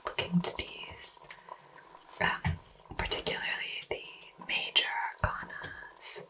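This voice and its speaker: A woman whispering in a casual ASMR chat, reflective and agreeable, with halting phrasing. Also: sharp tone. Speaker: host receiving a tarot reading.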